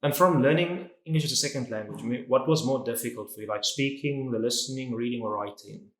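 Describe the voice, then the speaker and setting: A male host leads a casual educational interview, asking questions and sharing his own opinions. His voice sits at an ordinary middle pitch. The room is noticeably echoey. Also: fast talker. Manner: chatty, opinionated, often starts questions with "so". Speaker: interview host, English teacher.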